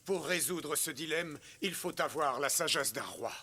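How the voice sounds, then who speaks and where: A man voicing a regal, measured pharaoh and father in a French cartoon dub. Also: a little high, animated, pushed and bright, soft background music. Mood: solemn.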